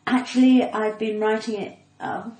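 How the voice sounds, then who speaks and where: A woman's voice: a little high, steady tone, normal speed, darkish tone. Posh English woman in an echoey room. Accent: English, cut-glass received pronunciation. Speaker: podcast guest, comedian. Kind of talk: casual interview chat. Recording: echoey room, faint background noise.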